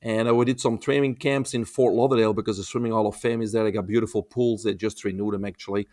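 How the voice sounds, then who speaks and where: A man in his fifties from Belgium with a Belgian accent, a holistic health expert telling his story as a guest on a remote interview in a relaxed, wry way. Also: on the low side, quick pace. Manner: relaxed, wry storyteller who acts out quoted dialogue. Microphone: remote, video call.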